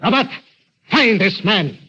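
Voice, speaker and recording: An actor playing a gloating, theatrical mad inventor villain in a vintage radio drama, sneering and cackling. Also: male voice, sits high, big pitch swings, unhurried, sharp tone, breathy edge, highs cut off, full character voice. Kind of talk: 1940s radio acting. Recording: scratchy old radio broadcast.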